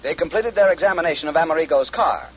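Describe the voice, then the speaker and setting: An actor playing a brisk, anxious insurance company man in a 1950s radio drama. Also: fairly high pitch, expressive, husky. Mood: urgent, businesslike. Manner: hurried, hesitant starts. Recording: muffled band-limited vintage radio recording.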